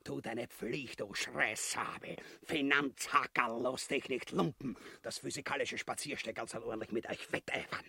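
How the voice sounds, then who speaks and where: A man's voice: medium pitch, fairly even intonation, measured pace, gravelly, hardly any pauses, bright, forceful tone, breathy edge, no echo, exaggerated voice. An actor playing a pompous, cowardly and bloodthirsty king at war in a satirical radio play, with a Viennese accent and a blustering, grotesque manner. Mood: grandiose menace. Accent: Viennese Austrian.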